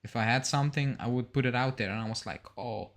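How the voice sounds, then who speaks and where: Young male co-host, mid-pitched everyday voice, informal sports livestream chat, reads out comments and reacts. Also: fast talker. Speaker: co-host of a football fan live show. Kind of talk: casual live chat, reading out and reacting to viewer comments.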